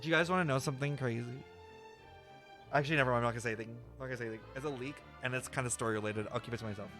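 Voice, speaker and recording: A man's voice: medium pitch, rapid-fire, pushed and bright, soft background music. A man chatting casually on a game stream in a slangy, self-deprecating, talkative way. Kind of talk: casual live stream chat reacting to a game.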